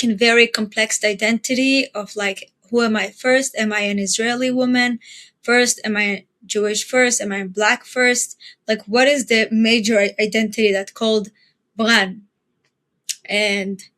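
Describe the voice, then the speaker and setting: A young woman from Ethiopia, answering interview questions as a guest, earnest and pausing to repeat words as she looks for them. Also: a little high, fairly bright tone. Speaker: guest, educator and activist.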